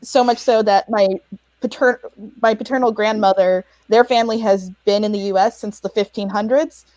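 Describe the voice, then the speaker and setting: A woman's voice: medium pitch, fairly even intonation, normal speed, darkish tone, dry recording, low-quality recording. A woman on a casual panel, chatting and often laughing, with plenty of fillers.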